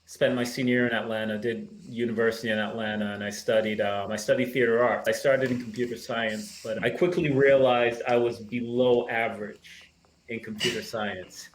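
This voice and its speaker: A man in his thirties with a subtle blend of Caribbean and American accent talks in an easygoing, reflective way. He pauses often with small fillers. The room sounds echoey, with a faint hum behind him. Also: mid-range voice, steady tone. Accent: mild Caribbean-American mix. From Barbados. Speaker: screenwriter guest. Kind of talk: relaxed interview conversation.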